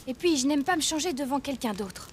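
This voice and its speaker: An actress playing a guarded, stubborn teenage girl passing as a boy in an animated romance dub, reserved and curt. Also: sits high, a little fast, a little hiss or hum. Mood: stubborn, shy.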